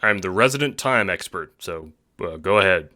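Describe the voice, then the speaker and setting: A man acts out a laid-back teenage boy in an audio drama. His voice is low and bright-edged, recorded very dry. He talks in terse, casual slang with a deadpan cool. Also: normal speed, no room sound. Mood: cool, matter-of-fact.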